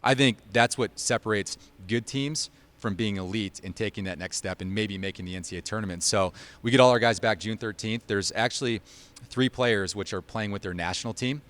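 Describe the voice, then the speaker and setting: Deep-voiced man, informal coach in interview. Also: fairly quick, a bit bright. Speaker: college basketball head coach. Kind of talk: sit-down sports interview.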